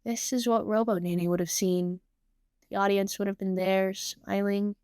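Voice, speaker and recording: A woman's voice: mid-range voice, level tone, a little slow, smooth, no echo, clean studio sound, lightly acted. A woman voicing a shy, self-blaming teenage girl in a story reading, hesitant and prone to stammering. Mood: ashamed. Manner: hesitant, stammering, soft-hearted.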